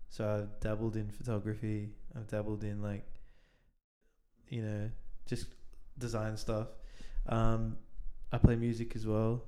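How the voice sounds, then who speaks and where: A man, chatting casually as host with loose, relaxed phrasing. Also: lowish voice, measured pace. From Australia. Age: 20s. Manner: relaxed, lots of "like" fillers. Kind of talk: casual chat.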